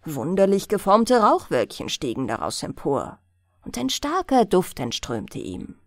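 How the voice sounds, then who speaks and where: A woman narrating a fairy tale as a bedtime audiobook, in a deliberate, expressive storytelling manner. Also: fairly low pitch, dry recording, clean studio sound.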